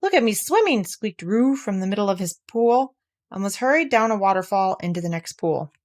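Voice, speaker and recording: A woman reading a children's storybook aloud, telling it gently and with life. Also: mid-range voice, moderate pace. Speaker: narrator reading a children's book.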